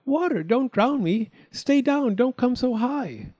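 A man's voice: high-pitched, sing-song, normal speed, pitched above the performer's own voice. A man voicing a naughty, self-important little half chick in a storybook tale. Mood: panicked. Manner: dismissive, cocky. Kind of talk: storybook character voice.

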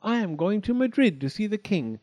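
Storybook young male chick, man acting: naughty, self-important, highish, animated. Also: normal speed. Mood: dismissive. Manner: dismissive, cocky. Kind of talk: storybook character voice.

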